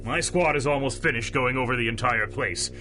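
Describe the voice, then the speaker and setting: A gruff police chief played by a man in a pulp radio play, blunt and skeptical. The voice is medium-pitched and forceful, with faint music underneath. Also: brisk, close to an everyday voice. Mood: skeptical, irritated. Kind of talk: 1930s-set pulp radio drama acting.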